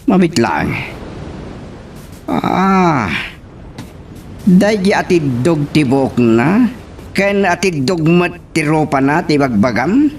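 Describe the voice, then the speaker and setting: A man's voice: lively intonation, takes it slowly, long pauses, soft background music. A man with an Ilocano accent playing a haughty, short-tempered young hacienda heir in a radio drama, scornful and demanding. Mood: insistent. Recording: noisy, rumbling radio drama recording.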